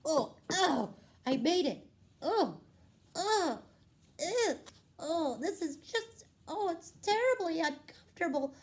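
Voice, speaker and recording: A woman's voice: extremely high pitch, animated, takes it slowly, voice pushed up, exaggerated voice. A woman voicing a dramatic, fussy and frank young princess in a children's puppet show. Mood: exasperated.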